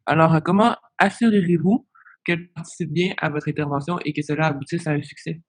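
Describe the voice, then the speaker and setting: Male case agent. Slightly high voice, polite, hesitant, questioning delivery. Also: fairly even intonation, quick pace. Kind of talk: professional phone conversation, questioning.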